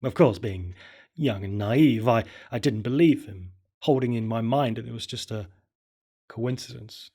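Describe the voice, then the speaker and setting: Husky guy reading a creepypasta, low and somber, kinda murmury. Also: unhurried, mellow. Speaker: storyteller. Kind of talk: first-person horror story narration.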